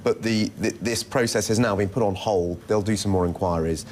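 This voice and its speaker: A man reporting live as a news correspondent, explaining unscripted in a hesitant, halting way. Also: fairly low pitch, a little mellow, slight background noise. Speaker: senior international news correspondent. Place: television news studio.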